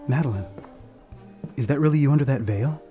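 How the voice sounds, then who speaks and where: An actor playing a loyal, protective nightclub boss in a noir radio drama, plain-spoken and quietly affectionate. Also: male voice, mid-range voice, a little fast, music in the background, lightly acted. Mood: tender, tentative.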